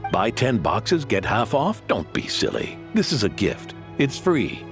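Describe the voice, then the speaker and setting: Hearty, very animated old innkeeper. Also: male voice, fairly low pitch, measured pace, exaggerated voice. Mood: hearty, welcoming. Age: older. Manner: animated, effusive. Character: hearty, devout, generous old innkeeper grandfather. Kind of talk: video game voice acting. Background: music.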